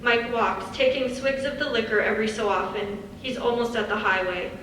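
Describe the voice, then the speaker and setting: A woman's voice: fairly high pitch, steady tone, normal speed, fairly bright tone. A woman reads stage directions as the narrator of a live dramatic script reading. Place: large echoey indoor hall on stage. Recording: very echoey hall, distant mic, faint noise.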